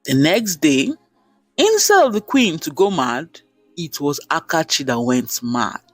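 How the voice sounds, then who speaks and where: A man's voice: on the high side, sing-song, unhurried, dry recording, faint music behind. A man with a Nigerian accent narrating a folktale in short, dramatic sentences. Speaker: storyteller.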